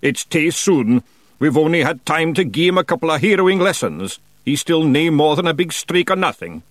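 Man putting on a loud, blunt Scottish fairy clansman. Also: fairly high pitch, expressive, normal speed, dry recording, pitched above the performer's own voice, lightly acted. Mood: protesting. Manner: blunt, shouting.

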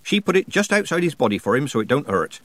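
A man voicing a brisk, bossy old village witch woman in an audiobook, folksy, teasing and scolding. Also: on the high side, big pitch swings, fairly bright tone, no room sound, voice pushed up. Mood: matter-of-fact. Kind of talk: audiobook character dialogue.

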